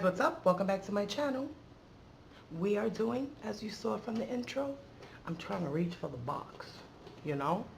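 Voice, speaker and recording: Woman vlog host, casual and rambling, playful slang, creaky delivery, faint background noise. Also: mid-range voice. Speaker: beauty vlogger and channel host. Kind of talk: casual chatty unboxing vlog.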